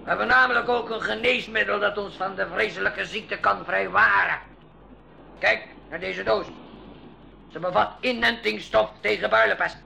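A brash pirate captain in a school radio play. His voice is very high and gravelly, and he barks orders with commanding bluster over some background noise. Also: fairly even intonation, moderate pace, bright, forceful tone, exaggerated voice. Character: bossy, bellowing male pirate captain, gullible and brash. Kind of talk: 1980s school radio drama acting. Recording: noisy 1980s radio drama recording.

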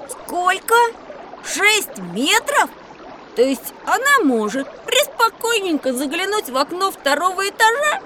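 A woman playing a curious, mischievous young boy in a children's educational audio drama, teasing and excitable. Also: very high voice, unhurried, noisy background, heavily acted. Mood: amazed, teasing.

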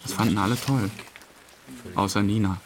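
A deep-toned man played by an actor narrates his grief in a radio drama. He is understated and hesitant, speaking in short, plain sentences over clear ambient noise. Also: steady tone, moderate pace, lightly acted. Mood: reflective, subdued. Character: subdued, hesitant grieving man recalling a friend's burial. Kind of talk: interview-like dramatic monologue, past-tense recollection. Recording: faint rain and ambience noise.

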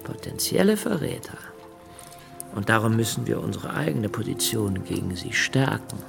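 Intense, austere revolutionary over loud music. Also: male voice, on the low side, sing-song, normal speed, gravelly, exaggerated voice. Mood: grave, warning. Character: principled, suspicious revolutionary politician, austere and intense. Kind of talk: German radio drama acting.

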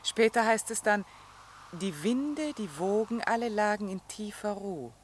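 An actress playing a middle-aged countess and worried mother in a radio play, formal, measured and well-bred. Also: medium pitch, lively intonation, slight background noise, lightly acted. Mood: gently reproachful. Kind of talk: German radio play acting.

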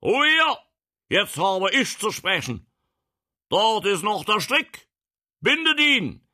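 Thundering imperious prince, pitched high. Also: male voice, very animated, takes it slowly, pushed and bright, heavily acted. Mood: furious. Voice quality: powerful, booming. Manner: barking, scornful, imperious. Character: thundering, commanding prince disguised as a vagabond journeyman.

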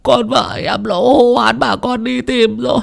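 A man's voice: very high-pitched, fairly even intonation, fast, vocal fry, pitched above the performer's own voice, full character voice. A man voicing a panicked grown village father who wails and pleads in stretched-out cries. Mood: wailing.

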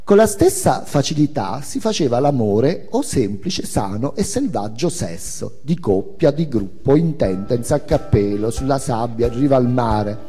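Expressive male memoir reader in reverberant hall. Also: mid-range voice, normal speed, few pauses, slightly rough, soft background music. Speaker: author and narrator reading a memoir. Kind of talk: expressive theatrical reading of autobiographical prose.

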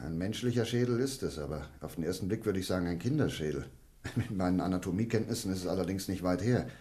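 An actor playing a courteous, inquisitive doctor in a German detective radio play, speaking in a deliberate, thoughtful way. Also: male voice, low voice, warm tone, lightly acted. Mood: thoughtful, puzzled. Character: inquisitive, courteous male doctor investigating a mysterious disappearance.

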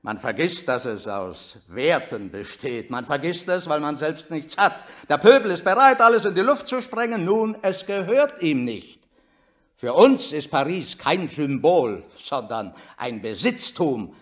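A man's voice: a little high, big pitch swings, measured pace. An actor in a German radio drama playing a haughty, imperious elderly statesman who declaims and sneers. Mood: disdainful.

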